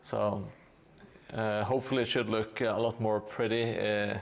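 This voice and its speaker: Slow, gravelly man chatting while he paints. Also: lowish voice. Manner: relaxed, hesitant with frequent fillers, thanks viewers. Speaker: streamer. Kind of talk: casual live-stream chat while painting.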